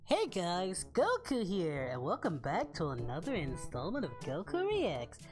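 A man playing an excitable, lecherous, cheerful anime warrior in a parody, hosting a casual reaction video in character. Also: fairly high pitch. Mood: upbeat, welcoming. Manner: excitable, bawdy, exclaiming.

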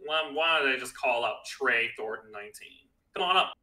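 Bright, casual man co-hosting a panel. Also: mid-range voice, animated, moderate pace. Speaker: panel co-host. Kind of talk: casual chat.

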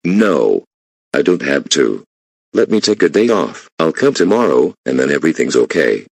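A man's voice: low-pitched, very animated, normal speed, vocal fry, slightly rough, no echo, heavily acted. A man voicing a stressed, defiant employee who whines and complains. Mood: defensive. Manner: whining, complaining. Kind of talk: amateur voice acting.

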